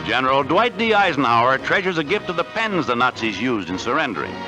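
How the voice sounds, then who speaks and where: A man's voice: medium pitch, very animated, brisk, rough recording. An American man narrating a 1940s newsreel in a dramatic, declamatory scripted style on a vintage soundtrack. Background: loud music.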